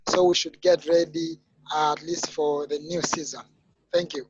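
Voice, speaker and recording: A man's voice: on the high side, fairly even intonation, moderate pace. Tanzanian man on a noisy, muffled line. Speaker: webinar participant asking a question. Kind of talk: spontaneous question. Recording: dull, noisy online call with clicks.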